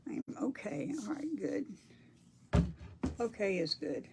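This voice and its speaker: A woman's voice: mid-range voice, big pitch swings, slow pace, noisy background. An older woman, chatting on a crafting livestream, reading viewer comments and murmuring to herself as she paints. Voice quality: soft-spoken. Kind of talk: casual livestream chat while painting.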